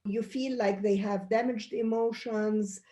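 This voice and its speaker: A woman's voice: medium pitch, fairly even intonation, measured pace, mellow. A middle-aged woman from Egypt, a pediatrician being interviewed, explaining things earnestly with frequent restarts. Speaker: pediatrician guest. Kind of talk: interview answers.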